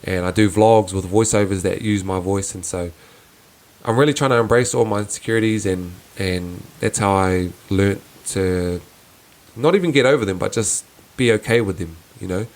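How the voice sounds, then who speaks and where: Soft-voiced young man, earnest and low. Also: moderate pace. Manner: earnest, reflective, with frequent restarts and fillers. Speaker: podcast host. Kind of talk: casual reflective solo podcast.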